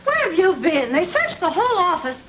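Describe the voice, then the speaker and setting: A woman's voice: extremely high pitch, sing-song, a little fast. A woman playing a detective's fretting, wry secretary in a film noir radio drama. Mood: alarmed, wry. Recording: noisy vintage radio recording.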